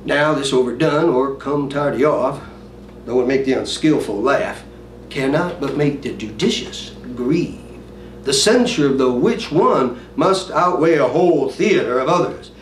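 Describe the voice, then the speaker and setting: A man playing an earnest, brooding prince, reciting a Shakespearean monologue in a declamatory way with weighty pauses. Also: mid-range voice, lively intonation, pitched below the performer's own voice, lightly acted. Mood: earnest, impassioned. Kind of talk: dramatic Shakespearean stage monologue. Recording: roomy, distant mic, faint noise.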